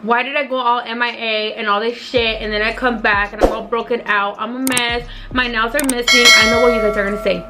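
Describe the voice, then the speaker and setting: A hoarse young woman who has partly lost her voice tells a rambling, chatty storytime to her viewers as a beauty vlogger. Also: fairly high pitch, steady tone, fairly quick, few pauses, over music. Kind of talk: casual storytime vlog.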